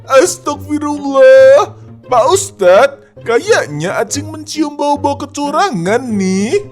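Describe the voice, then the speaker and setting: A woman's voice: high voice, expressive, slow pace, darkish tone, soft background music. A woman voicing an eager, suspicious young male sidekick in a cartoon, exclamatory and deferential. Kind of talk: animated cartoon dialogue.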